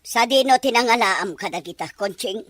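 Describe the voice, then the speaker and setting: A woman's voice: mid-range voice, animated, fairly quick, a bit bright, dry recording. A woman playing a haughty, scornful rich mother who scolds imperiously in a melodramatic audio drama. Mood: haughty, disdainful. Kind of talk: melodramatic radio-style drama acting.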